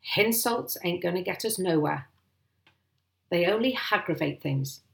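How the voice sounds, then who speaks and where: A woman voicing a pompous, stern male village police sergeant in a storybook, ponderous and formal, with misplaced aitches and rough grammar. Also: fairly low pitch, lively intonation, measured pace, reverberant. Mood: stern, measured.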